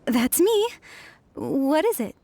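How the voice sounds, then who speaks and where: An actress playing a defensive, indignant young woman researcher in a video game, huffy and expressive. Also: sits high, slow, slight background noise, exaggerated voice. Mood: huffy, bitter.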